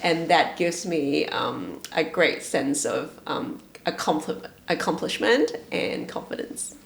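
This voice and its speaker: A woman, chatting as an interview guest in a thoughtful, soft-spoken way with many um fillers. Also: deep voice, slow pace, gravelly, a little hiss or hum. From Hong Kong. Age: 40s. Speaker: guest, retail and education manager. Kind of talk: casual interview chat. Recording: roomy echo.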